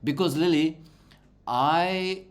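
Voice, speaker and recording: An English tutor whose first language is Bulgarian gives a patient one-on-one online lesson over a video call, speaking slowly and encouragingly and repeating and spelling out words. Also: medium pitch.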